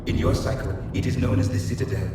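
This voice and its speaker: An actor playing an ancient alien artificial intelligence in a video game, speaking in a formal, measured, detached manner. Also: male voice, mid-range voice, close to an everyday voice. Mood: impassive. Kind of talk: scripted video game dialogue. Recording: echoing, distant, noisy game audio capture.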